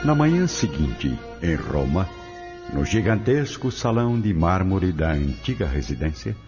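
Deep-voiced, expressive male narrator. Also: a little slow, music in the background. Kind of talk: scripted radio drama narration.

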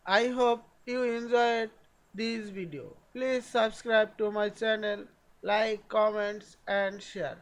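A man narrating a step-by-step software tutorial in short, halting phrases. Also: high-pitched, flat delivery.

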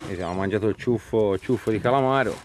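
A man's voice: on the low side, fast talker, a little mellow, a little hiss or hum. A man hosting a fishing show, chatting casually on a small boat at sea and coaching his fellow angler.

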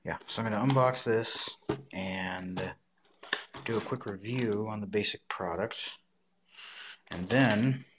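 A man talking casually and matter-of-factly through a hands-on craft review at a table indoors. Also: deep voice. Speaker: prop maker reviewing and modifying a costume mask. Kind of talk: casual unboxing and tutorial talk to camera. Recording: clear background noise, handling clicks.

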